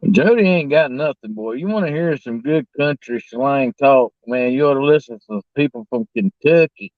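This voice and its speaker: A man's voice: medium pitch, lively intonation, moderate pace, no room sound. Joking guy with a north carolina tennessee twang, tinny phone-like sound, dry. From Southern United States. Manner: teasing, joking. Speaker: co-host. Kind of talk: casual chat reading live comments.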